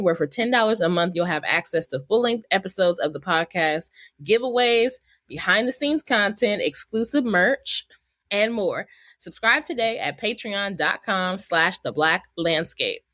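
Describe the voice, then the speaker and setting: A woman's voice: medium pitch, animated, normal speed, bright, forceful tone, no echo. A woman hosting a podcast interview, chatty and upbeat, laughing easily as she talks with her guest. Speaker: podcast host. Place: Bay Area.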